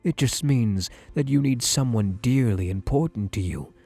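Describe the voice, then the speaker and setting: A man playing a possessive, doting therapist in an ASMR roleplay, speaking in a soothing, deliberate, hushed way with breathy murmurs. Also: mid-range voice, expressive, dry recording, soft background music. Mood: reassuring, possessive. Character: possessive male therapist, softly controlling, doting and obsessive.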